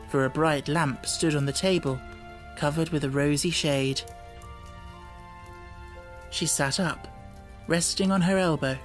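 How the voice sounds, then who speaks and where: A woman reading an audiobook as narrator, with deliberate phrasing and expressive, dramatic emphasis. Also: sits low. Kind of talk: dramatic scripted storybook narration. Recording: music bed under the voice. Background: music.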